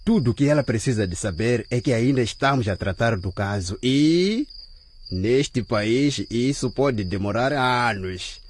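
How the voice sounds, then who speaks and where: A man playing a corrupt, greedy, smug policeman in a radio drama, with a husky voice and a sly manner. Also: medium pitch, expressive, unhurried, slight background noise, natural voice. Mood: smug, scheming.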